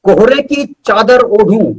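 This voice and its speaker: A man's voice: high voice, animated, unhurried, slightly rough, rough recording. A man, a poet being interviewed, speaking earnestly and reciting with emphatic repetition. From India.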